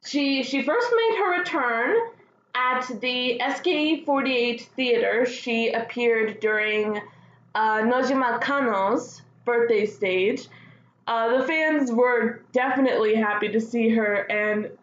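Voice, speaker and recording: A woman's voice: a little high, takes it slowly. Gushing woman in an echoey room. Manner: gushing, excitable fan talk. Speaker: host of a fan news show. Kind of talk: casual solo news chat. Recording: dull lo-fi sound, roomy echo, faint noise.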